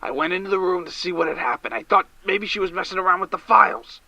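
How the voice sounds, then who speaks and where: A man's voice: high-pitched, quick pace, gravelly, dry recording, lo-fi sound, exaggerated voice. An actor playing a distraught, drinking male caller on the edge of a breakdown, panicky and stammering, in an audio drama. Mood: shaken. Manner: frantic, panicky, shouting and stammering. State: drunk. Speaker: caller to a late-night radio show.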